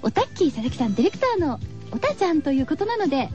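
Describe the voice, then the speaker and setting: A woman's voice: sits high, very animated, rapid-fire, darkish tone, faint music behind. A woman hosting a radio show, chatting casually to her listeners in a cutesy, girlish way. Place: radio studio. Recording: slightly dull, lo-fi radio recording.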